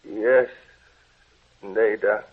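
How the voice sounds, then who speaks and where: An actor playing a fearful male scientist in old-time radio horror, with halting, dramatic delivery. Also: on the low side, fairly even intonation, slightly rough, exaggerated voice. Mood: helpless, obedient. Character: fearful scientist falling helplessly under another's will. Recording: thin, muffled vintage radio recording.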